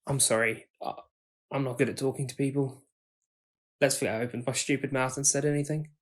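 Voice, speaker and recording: A man's voice: mid-range voice, fairly even intonation, fairly quick, natural voice. Casting: actor as kind, socially awkward young man, tentative and earnest, audio drama dialogue. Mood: apologetic.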